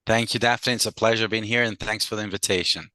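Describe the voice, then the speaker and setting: A middle-aged man from Brazil with a slight Scottish accent, a lawyer speaking as a guest in a casual interview, measured and pausing often. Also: on the low side, fairly even intonation, bright, forceful tone, no echo. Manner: measured, with frequent "uh" pauses.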